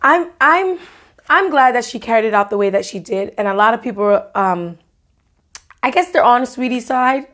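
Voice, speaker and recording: A woman's voice: a little high, lively intonation, normal speed, a bit bright. A woman co-hosting a podcast in casual chat, laid-back with dry quips. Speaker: podcast co-host.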